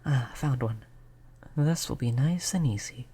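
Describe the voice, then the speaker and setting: A performer voicing a gentle, polite vampire in an intimate roleplay audio, speaking in a soothing, conversational way at a medium pitch. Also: a little slow, breathy edge. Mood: gentle, reassuring. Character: gentle, reassuring vampire, eighty years old, eternally polite. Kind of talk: roleplay audio drama, intimate one-on-one talk to listener.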